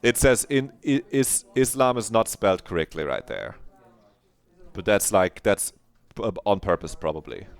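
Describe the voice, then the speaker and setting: A man's voice: on the low side, lively intonation, moderate pace, creaky, clean tone. A man streaming a visual novel, musing aloud in casual commentary that trails off.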